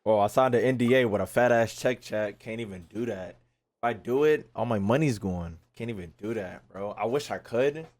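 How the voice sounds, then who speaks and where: A man's voice: on the low side, normal speed, a little mellow. Slangy cocky man chatting on a livestream. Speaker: streamer reacting to a game. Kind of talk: casual livestream chat with slangy reactions.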